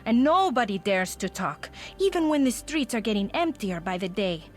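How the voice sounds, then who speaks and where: A woman's voice: mid-range voice, sing-song, moderate pace, natural voice. A woman voicing a weary, wary official in a video game, grave and exasperated, with a Spanish accent. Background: music.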